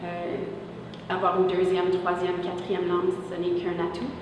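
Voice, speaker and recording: A middle-aged woman, a teacher and parent, talks earnestly in an interview testimonial, pausing and restarting often. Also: lowish voice, monotone. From Winnipeg, Manitoba, Canada. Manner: earnest, with many pauses and restarts. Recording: echoey room, mic far off, noise behind.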